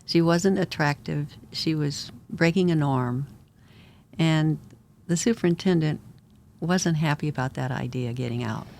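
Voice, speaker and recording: A guest storyteller retelling history in a brisk, matter-of-fact way. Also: medium pitch, vocal fry, darkish tone. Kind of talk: storytelling narration.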